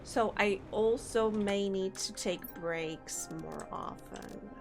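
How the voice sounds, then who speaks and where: Slow-talking woman streamer, slightly sore throat. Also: mid-range voice. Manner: rambling, thinks aloud, chats with viewers. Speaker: game streamer. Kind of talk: casual live-stream chat while playing. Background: faint game music.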